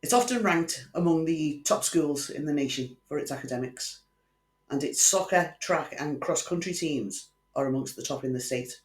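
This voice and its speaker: A man's voice: fairly high pitch, normal speed. A man hosting a true crime channel, telling a case in scripted narration with wry conversational asides to the viewer. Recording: echoey room.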